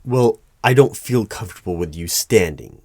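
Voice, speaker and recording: A man playing a formal, easily flustered hiring manager in an ASMR roleplay, speaking in measured, halting phrases. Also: lowish voice, expressive, darkish tone. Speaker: performer of a one-sided roleplay. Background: computer keyboard typing.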